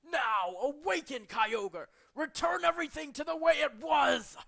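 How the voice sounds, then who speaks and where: A man's voice: very high voice, lively intonation, measured pace, creaky, bright, forceful tone, raised voice, pitched above the performer's own voice, heavily acted. A man voicing an anguished, zealous video game villain bent on remaking the world, with a grandiose, declamatory delivery. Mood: desperate, exultant.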